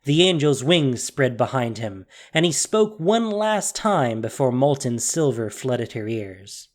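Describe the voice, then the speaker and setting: A man narrating a dramatic fantasy story solemnly, with deliberate pauses, over a music bed. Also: mid-range voice, sing-song.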